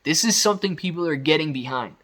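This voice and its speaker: Higher-pitched man, enthusiastic recap host. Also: animated, quick pace, a bit bright. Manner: emphatic, enthusiastic, conversational. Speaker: video host recapping company news. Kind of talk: casual off-the-cuff commentary addressed to viewers.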